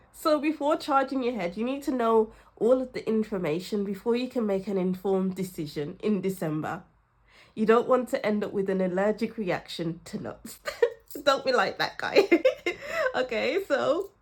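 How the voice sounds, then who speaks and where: A young woman with a cold, chatting casually and laughing often as she gives a tarot reading. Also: medium pitch. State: has a cold, sniffly. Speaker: tarot reader.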